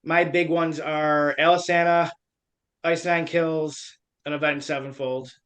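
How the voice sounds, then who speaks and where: A man in his thirties from Western New York, a metal band member chatting in a relaxed, friendly way. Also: a little high, unhurried. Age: 30s. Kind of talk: casual chat.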